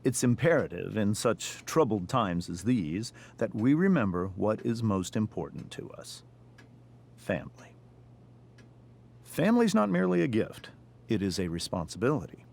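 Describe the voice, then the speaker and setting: Stern low-voiced American father. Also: sing-song, gravelly, a little mellow, full character voice. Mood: solemn, lecturing. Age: middle-aged. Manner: measured, formal, speechifying. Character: stern, pompous district attorney and family father. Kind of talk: dramatic video game acting.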